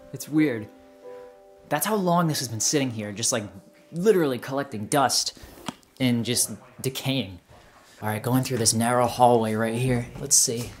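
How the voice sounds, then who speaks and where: Young man musing aloud over music. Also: mid-range voice. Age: 20s. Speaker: urban explorer vlogger. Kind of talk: casual vlog narration while exploring. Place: indoors, rooms of an abandoned house.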